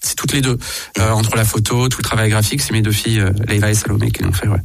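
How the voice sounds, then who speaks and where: A man's voice: fairly low pitch, fairly even intonation, fast talker, vocal fry, dry recording. A man in his fifties, a musician guest in a radio interview, talking casually with lots of hesitant repetitions. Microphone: studio microphone. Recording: dry radio studio, faint music.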